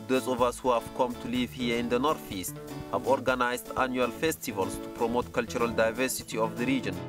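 Man narrating a documentary over music. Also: mid-range voice. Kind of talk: scripted narration.

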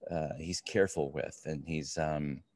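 A middle-aged man co-hosting a podcast, chatting casually in a halting, rambling way. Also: a bass voice, fairly even intonation, darkish tone, no room sound, studio quality. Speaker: podcast co-host. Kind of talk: casual book review chat.

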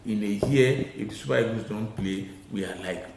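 A man's voice: on the low side, moderate pace, gravelly, roomy sound, background noise. A middle-aged Nigerian man giving opinions as a football pundit in a studio, talking emphatically and repeating his key points. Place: small TV studio with glass-block wall. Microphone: lapel.

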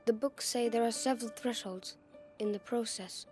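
Woman voicing a bookish young apprentice kid in a game, serious n careful, highish, bit of music. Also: fairly even intonation, moderate pace, close to an everyday voice. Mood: grave, earnest. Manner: careful, explanatory. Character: earnest, bookish young male alchemist's apprentice. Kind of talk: dramatic video game acting. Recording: faint music behind the voice.

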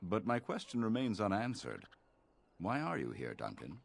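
A man playing a stern old elf village elder in a fantasy video game, speaking formally and with measured care. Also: wildly swooping pitch, heavily acted. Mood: stern concern. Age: older. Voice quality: deep, gravelly.

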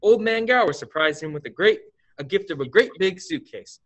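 Casting: young man as expressive story guide, fairly high voice with lively swings, radio play narration. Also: a little fast. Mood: narrating. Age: young adult. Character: story-telling guide, recounting a life fondly.

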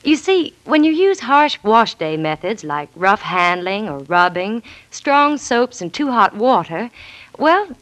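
A woman delivers a scripted 1940s radio advertisement in an American accent. Her medium-pitched voice moves expressively as she explains things instructively. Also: very animated, slow, fairly bright tone, low-quality recording. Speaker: commercial spokeswoman.

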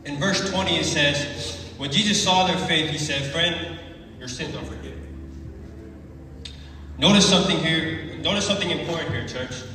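A young man preaching a prepared sermon in an earnest, deliberate manner, speaking from a church pulpit into a handheld microphone. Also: on the high side, pushed and bright, a little hiss or hum. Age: young adult. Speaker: preacher giving a short sermon. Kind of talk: sermon, prepared and read from notes. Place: church sanctuary, at a wooden pulpit. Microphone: handheld microphone through the hall sound system. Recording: very echoey church hall, far from mic.

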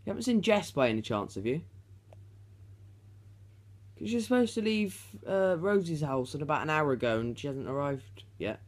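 A man's voice: a little high, lively intonation, moderate pace, long pauses. Young teenage boy chatting casually. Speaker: prank caller in a home video. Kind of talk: casual chat and phone calls. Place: small room at home, indoors.

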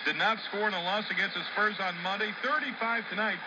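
A man reading a quick sports score report as a radio announcer. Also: high voice, very noisy. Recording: dull radio speaker, very loud crowd-like babble.

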